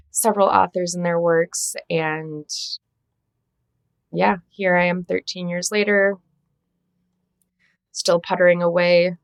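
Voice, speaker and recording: A woman in her thirties with a low, grounded voice talks through her path into translation. Her answers are reflective and self-deprecating, broken by small laughs and restarted phrases, and the sound is close and dry with no room around it. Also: no room sound. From United States. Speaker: literary translator and editor, interview guest. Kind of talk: conversational interview answers.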